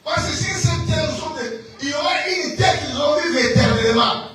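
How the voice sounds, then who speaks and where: A man's voice: very high voice, lively intonation, moderate pace, a bit bright, shouting. A man with a Congolese accent preaching fervently, repeating phrases emphatically and prompting his listeners to respond. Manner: repetitive, emphatic, calls on listeners to answer. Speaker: preacher, pastor. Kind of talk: fervent, exhorting sermon. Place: church hall. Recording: very echoey church PA, distant, music behind.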